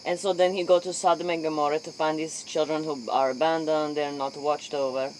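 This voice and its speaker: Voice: medium pitch, flat delivery, a little fast. Interviewer over faint noise and chirping crickets. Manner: echoes and paraphrases the guest's answers. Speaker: late-night interviewer. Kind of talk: improvised mock interview.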